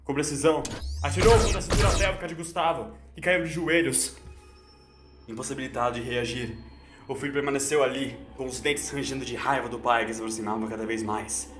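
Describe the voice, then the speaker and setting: A male narrator tells a scripted dramatic story. His mid-pitched voice is solemn and theatrical. It rings with echo over a music bed. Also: fairly even intonation, a little fast.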